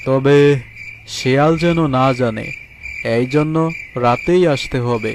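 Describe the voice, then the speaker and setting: A man's voice: mid-range voice, takes it slowly, background noise. A man voicing a greedy, sneaky thieving tiger in a cartoon, gloating and talking to himself. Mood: gleeful, sneaky. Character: greedy sneaky male tiger, a mango thief. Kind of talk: cartoon voice acting.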